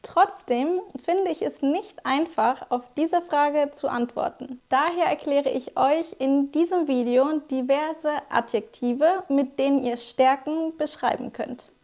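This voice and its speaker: A young woman with a high-pitched voice gives a scripted language lesson. She explains each word clearly and speaks directly to her viewers, as a teacher would. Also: a little slow, hardly any pauses, a little mellow. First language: German. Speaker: German teacher.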